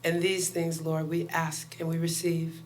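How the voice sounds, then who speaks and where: A woman guest pastor with a loud voice giving a chatty sermon and prayer to a congregation, laughing often between lines. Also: sits low, completely flat delivery, unhurried. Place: house of worship.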